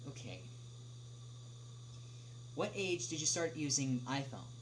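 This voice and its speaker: A young man hosts a casual livestream, reading questions and answering them off the cuff. His medium-pitched voice moves in hesitant stops and starts, full of filled pauses. A clearly audible noisy hum sits behind him. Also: very animated. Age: young adult. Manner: halting, hesitant delivery with frequent long filled pauses. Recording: clearly noisy, humming background.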